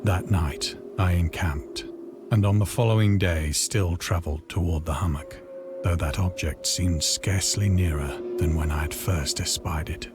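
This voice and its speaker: Casting: male actor as haunted male castaway narrator for a horror game, low-pitched, grave and formal, with prominent music underneath. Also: lightly acted. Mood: somber. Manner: grave, formal, measured storytelling. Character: stranded man recounting a cosmic horror ordeal, haunted and grave. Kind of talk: scripted dramatic first-person horror narration. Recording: voice over prominent background music.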